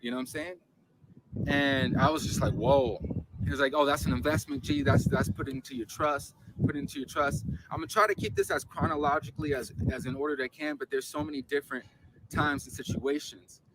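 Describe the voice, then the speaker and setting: A man from Los Angeles earnestly telling a long, rambling story on a casual podcast, his voice jumping up when he gets animated. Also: a little high, a little fast, very noisy.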